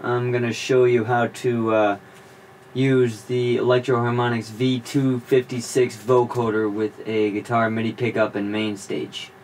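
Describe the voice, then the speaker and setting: A man's voice: medium pitch, flat delivery, a little slow, darkish tone, a little hiss or hum. A man presenting an informal music gear tutorial, explaining in an offhand way as he thinks aloud.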